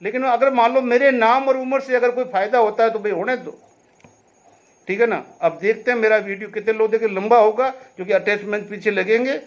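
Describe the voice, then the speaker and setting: A man's voice: high voice, fairly quick, muffled recording. A man giving direct commentary on veterans' affairs, talking emphatically to his listeners, explaining and pleading with them and repeating a tag question as he goes.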